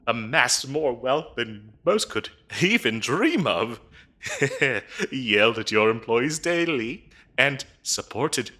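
A man's voice: a little high, bright, forceful tone, slightly rough, exaggerated voice. A man playing a fussy, bureaucratic demon interviewer in a comedic audio roleplay, officious and chatty with hesitant, dryly polite phrasing. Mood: exasperated, disappointed. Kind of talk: comedic audio roleplay acting, addressing the listener.

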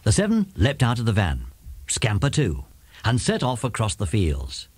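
British male narrator for children's audiobook, very animated, faintly husky, close dry studio sound. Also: lowish voice, moderate pace, no echo. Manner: animated, dramatic. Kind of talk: expressive scripted storytelling for children.

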